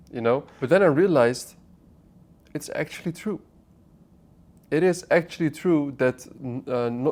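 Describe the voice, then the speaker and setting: A man's voice: medium pitch, darkish tone. A man in his twenties from the Netherlands giving an unscripted motivational talk to camera, blunt and swearing, often restarting his sentences as he speaks straight to the viewer. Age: 20s. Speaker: online business vlogger. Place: apartment.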